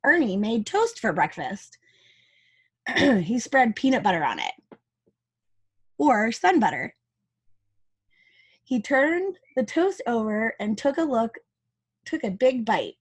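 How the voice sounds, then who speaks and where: A woman reading a picture book aloud to young children as their teacher, with chatty asides and expressive dialogue. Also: a little high, takes it slowly, pauses often, slightly rough.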